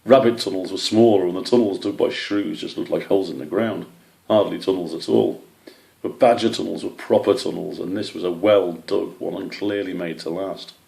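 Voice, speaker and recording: Male story reader: low-pitched, creaky voice, scripted storybook narration. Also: fairly even intonation, moderate pace, darkish tone, low-quality recording.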